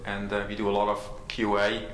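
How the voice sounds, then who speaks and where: A man giving informal, halting introductions at a company event, self-deprecating and full of fillers. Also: on the low side, monotone, fairly bright tone. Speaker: company host introducing guests. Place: large conference room. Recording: echoey room, faint noise.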